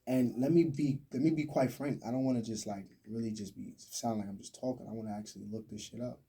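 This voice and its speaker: A young American man with a husky, mellow voice, a bit away from the mic. He talks freely and emphatically, full of slang, in a casual podcast conversation. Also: medium pitch, fairly even intonation, fast, distant mic. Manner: talkative, emphatic, slang-heavy.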